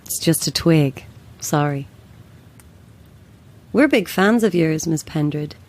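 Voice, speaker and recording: Brisk blunt spiritualist woman, lowish voice, matter of fact, audio drama. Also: animated, a little mellow, exaggerated voice. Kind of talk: naturalistic audio drama acting.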